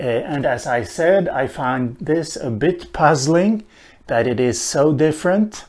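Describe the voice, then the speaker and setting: Swedish-speaking guy explaining a language lesson, real slow, lots of uh's, mid-pitched voice. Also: a little mellow. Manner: slow and thoughtful, with many "uh" pauses. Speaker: language teacher. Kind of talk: unhurried spoken lesson on a language.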